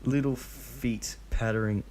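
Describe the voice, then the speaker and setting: A man's voice: mid-range voice, fairly even intonation, a little slow, a little mellow, slight background noise. A young man casually reading a fanfic aloud, tripping over the odd name. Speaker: fanfic reader.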